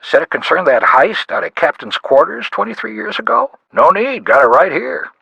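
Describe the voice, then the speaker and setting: An actor playing a middle-aged, gruff small-town police detective in a video game, gravelly and husky, with a wry, folksy, clipped manner. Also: male voice, high-pitched, sing-song, moderate pace, sharp tone, no room sound, dull audio, full character voice. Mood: wry, businesslike. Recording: band-limited dry phone-style sound.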